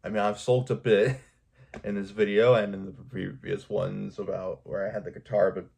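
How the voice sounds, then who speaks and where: Man, lowish pitch, casual vlog monologue, unscripted, earnest, rambling, repetitive emphasis. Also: level tone, normal speed, vocal fry, darkish tone, slightly rough. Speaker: video creator speaking to viewers.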